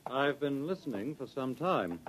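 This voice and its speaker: An actor playing a pompous, menacing state official, haughty and coldly sardonic, in a 1950s radio drama. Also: male voice, mid-range voice, expressive, a little slow, close to an everyday voice. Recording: noisy old 1950s radio recording.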